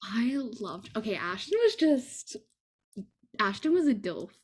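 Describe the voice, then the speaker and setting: A woman hosting a podcast in casual chat, giggly and animated. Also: fairly high pitch, a little slow, breathy edge. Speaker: podcast host.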